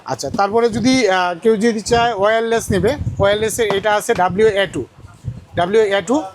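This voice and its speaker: A man's voice: high voice, fairly even intonation, moderate pace. A man with a Bangladeshi accent demonstrating products informally as a shop seller, often repeating his phrases and prices. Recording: clearly noisy audio with clicks.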